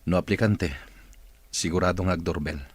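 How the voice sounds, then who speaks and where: Deep, husky, irritable man, acted out by a man. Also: fairly even intonation, moderate pace, no room sound. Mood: curt, impatient. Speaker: actor in an audio drama. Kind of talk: dramatised story dialogue.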